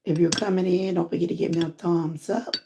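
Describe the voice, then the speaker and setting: A Southern woman from Georgia with a hoarse voice, chatting casually with viewers on a live stream from home. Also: fairly low pitch, fairly quick, noisy background. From Georgia, USA. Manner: relaxed, chatty, greets viewers often. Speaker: lifestyle vlogger and channel host.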